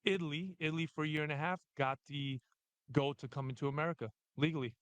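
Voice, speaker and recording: A man's voice: fairly high pitch, a bit bright, no room sound. Easygoing New man, dry sound. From the Bronx, New York. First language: Albanian. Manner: easygoing, joking, talks in quick fragments. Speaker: podcast guest. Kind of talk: casual chat.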